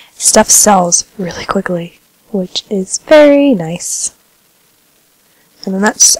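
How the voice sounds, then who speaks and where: A woman's voice: mid-range voice, dry recording, rough recording. Woman w/ a bit of a cold chatting thru her gameplay, stuffy nose, dry close mic, lots of ums. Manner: relaxed, chatty, filler-heavy. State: slightly congested from a cold. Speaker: gaming vlogger. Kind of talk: casual gameplay commentary.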